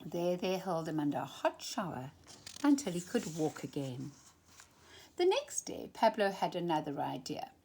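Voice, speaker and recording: A woman reading a children's picture book aloud expressively, with dramatic emphasis and drawn-out phrases. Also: fairly low pitch, very animated, warm tone, slightly rough. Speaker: storytime reader.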